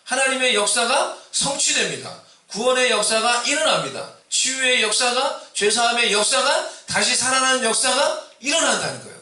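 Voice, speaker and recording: Male pastor from Seoul, Easter sermon, ringing bright tone, distant mic in an echoey church hall. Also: animated, moderate pace, few pauses. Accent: Seoul Korean with a mix of Busan dialect. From Seoul, Korea. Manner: rises loud and high on exhortations, asks the congregation questions.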